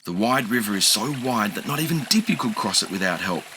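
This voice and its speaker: A man's voice: lowish voice, expressive, brisk, pushed and bright, slight background noise. A man doing an eager young boy dinosaur.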